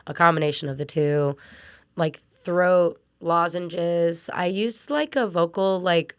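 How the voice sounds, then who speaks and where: A young woman with a raspy voice, a singer and vocal coach, chatting in an interview with lots of fillers. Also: fairly low pitch, takes it slowly, no echo, clean studio sound. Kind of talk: casual interview chat.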